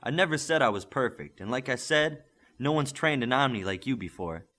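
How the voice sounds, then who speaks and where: Man voicing a commanding superhero coach, very animated. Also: medium pitch, brisk. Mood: firm, instructive. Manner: instructive, firm, encouraging. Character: encouraging, commanding male superhero coach and mentor. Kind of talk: audiobook character acting.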